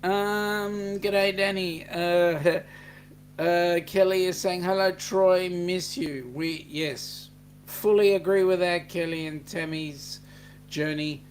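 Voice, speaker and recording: A man's voice: sits high, fairly even intonation, takes it slowly, slight background noise. An Australian man hosting a livestream, reading out chat messages and greeting viewers by name in a chatty, jokey way. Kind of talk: casual chat.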